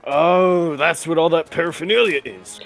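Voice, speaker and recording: A man playing a pompous, exclamatory old-fashioned gentleman in a 1940s-style radio play. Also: a little high, normal speed, gravelly, soft background music. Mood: astonished.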